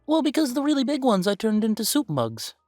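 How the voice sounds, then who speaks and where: A man's voice: extremely high pitch, wildly swooping pitch, a little fast, a little mellow, no echo, clean studio sound, faint music behind, full character voice. A man playing a playful, easygoing young werewolf boyfriend in a casual roleplay audio, chatting in a teasing, emphatic way.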